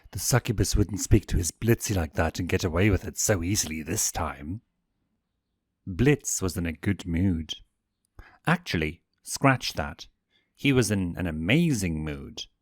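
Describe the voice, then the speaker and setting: A man reading a story aloud in an expressive audiobook narration style. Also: low voice, dry recording, clean studio sound. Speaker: fanfiction narrator.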